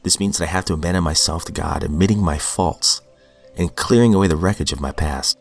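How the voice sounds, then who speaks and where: A man's voice: sits low, a little fast, creaky, faint music behind. A man hosting a daily recovery reading podcast, reading passages aloud and then reflecting earnestly, sounding sniffly from a cold. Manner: earnest, reflective, with fillers and restarts.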